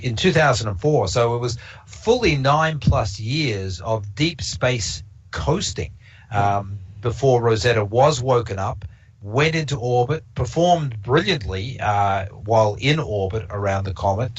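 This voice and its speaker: A man who speaks English as a non-native language, presenting a casual observatory talk and rambling with many fillers and restarts. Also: medium pitch. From Canada. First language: not English. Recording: slightly dull lo-fi stream audio.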